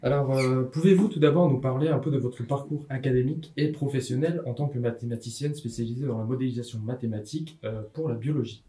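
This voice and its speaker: A man's voice: mid-range voice, fast talker, hardly any pauses, mellow. A young man, a student, asking an interview question in a formal way. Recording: echoey room.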